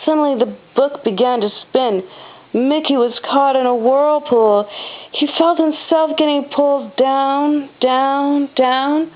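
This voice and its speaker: Mom reading a picture book out loud, kinda high voice, expressive, muffled homemade recording. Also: female voice, takes it slowly. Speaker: mother reading aloud to children. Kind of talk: storybook read-aloud narration. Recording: dull, lo-fi home recording.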